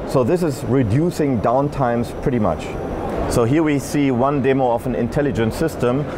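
A man in his thirties explaining a product demo at a trade show booth, eager and gesturing as he talks. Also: medium pitch. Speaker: company expert. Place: busy trade show hall, indoors. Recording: noisy hall background.

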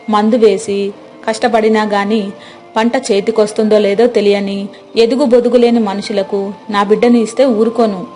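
A woman's voice: medium pitch, dark tone, lightly acted. Nagging village wife played by a storyteller woman. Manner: complaining, argumentative. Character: nagging, self-interested middle-aged village wife.

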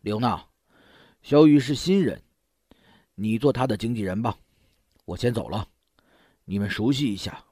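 A man's voice: fairly low pitch, normal speed, long pauses, mellow, breathy edge, no echo, studio quality. An actor in a Mandarin audio drama playing a businesslike male manager at a talent company. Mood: brisk, official.

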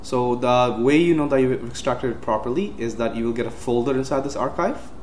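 A man's voice: medium pitch, brisk, a little mellow. Casual man walking through computer steps. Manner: casual, plain step-by-step instructions with many uhs and self-corrections. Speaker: tech tutorial host. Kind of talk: screen-recorded software walkthrough. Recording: roomy with faint hiss.

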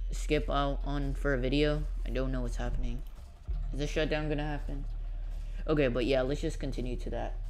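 A gaming video creator giving casual, offhand gameplay commentary, muttering along while playing. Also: medium pitch. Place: indoors at a computer, keys typing.

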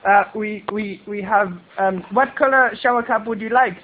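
A man's voice: extremely high pitch, fairly even intonation, pitched above the performer's own voice. A man putting on a brash foreign carpet seller in broken English, making a prank phone call. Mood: pushy. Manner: insistent, rude, fast-talking hard sell. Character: pushy foreign male street trader, brash. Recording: tinny phone line.

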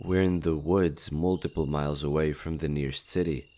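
A man's voice: extremely low pitch, fairly even intonation, normal speed, mellow. A man playing a shy, nervous, friendly skinwalker in human disguise in a roleplay audio drama, hesitant and stammering. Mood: flustered, awkward.

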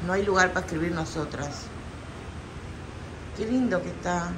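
A middle-aged woman vlogs from a small, busy restaurant and chats easily to her viewers. She guesses aloud and laughs often. The phone is held at arm's length, and steady diner noise fills the background. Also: fairly low pitch, moderate pace. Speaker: vlogger. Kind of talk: casual food vlog chat.

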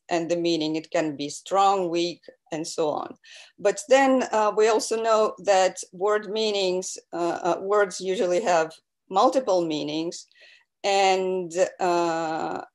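A woman giving an academic lecture over a video call, pausing often with fillers. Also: fairly low pitch. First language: Russian. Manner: hesitant, many fillers.

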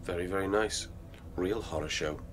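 A man's voice: low-pitched, moderate pace, lightly acted. Young thug for radio drama, male actor: insolent, gleefully violent, theatrical, music underneath. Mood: gleeful menace. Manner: insolent, theatrical slang-laden talk. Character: cocky, violent young male delinquent narrator, gleefully savage.

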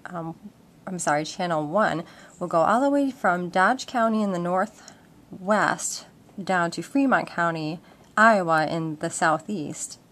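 A woman giving an informal, step-by-step explanation in a casual demonstration. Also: on the low side. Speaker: instructor. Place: indoors at a wooden table at home. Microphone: handheld camera microphone. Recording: noisy handheld home-video audio with clicks.

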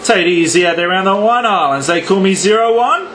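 An Australian man operates a CB radio in a notably high voice. He talks in on-air slang and showy, repeated sign-offs. A light bed of radio static and noise sits around him. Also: expressive, fast, a bit bright. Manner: repetitive, showy catchphrases. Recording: static-laced radio ambience, background noise.

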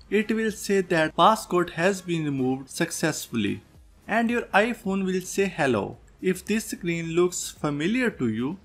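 A man's voice: sits high, a bit bright. A man presenting a tech tutorial as a scripted voice-over, going through instructions step by step. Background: background music.

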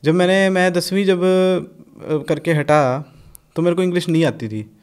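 Blunt, animated young Punjabi man. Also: high voice, a little slow, smooth. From Punjab, India. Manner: blunt, animated, swears freely, mixes English in. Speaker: podcast guest, rapper. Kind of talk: casual podcast chat.

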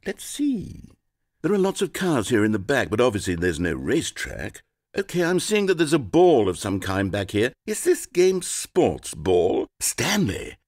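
A man's voice: mid-range voice, husky, no echo, full character voice. A man voicing a sardonic, theatrical game narrator, recorded in a studio. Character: sardonic, theatrical male storyteller.

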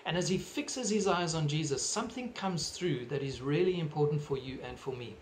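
A middle-aged man with a South African accent preaching a sermon from his living room into a webcam, earnest and measured, gesturing as he talks. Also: a little high, a little hiss or hum. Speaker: pastor. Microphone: webcam at desk distance.

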